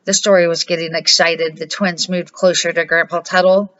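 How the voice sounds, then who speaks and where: A woman's voice: fairly low pitch, level tone, moderate pace, fairly bright tone, lo-fi sound. An older woman, a grandmother, reading a children's storybook aloud.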